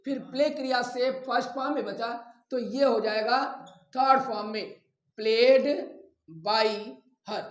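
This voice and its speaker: Male instructor, slow dictating delivery, step-by-step classroom explanation, roomy echoing sound. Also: very high-pitched, fairly even intonation. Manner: deliberate, dictating, drawn-out repetition of examples. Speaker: English grammar teacher. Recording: echoey room.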